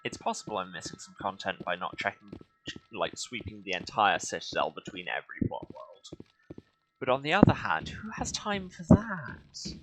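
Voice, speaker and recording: A man's voice: sits low, lively intonation, brisk, background noise. A man giving casual, dryly sarcastic commentary while playing a video game. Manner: dry, sarcastic asides. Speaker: host of a game playthrough.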